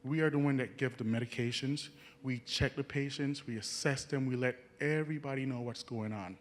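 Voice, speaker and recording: A young Jamaican man, a nursing student, telling an audience about his path in an earnest, halting way, with many restarts. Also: mid-range voice, steady tone. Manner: earnest, halting, frequent restarts, chuckles at his own stories. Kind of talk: personal story talk to an audience.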